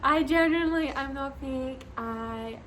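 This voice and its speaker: High-voiced giggly young woman. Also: measured pace, fairly bright tone, a little hiss or hum. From Scotland. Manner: bubbly, giggly, gushing. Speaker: vlogger. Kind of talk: casual chat to camera.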